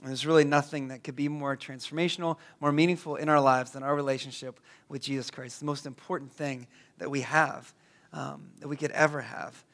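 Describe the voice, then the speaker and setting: A hoarse, raspy-voiced man in his thirties, a pastor praying and then preaching, who often stumbles and repeats words. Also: medium pitch, fairly even intonation, fairly quick, a bit bright. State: hoarse from a cold. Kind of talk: prayer and sermon.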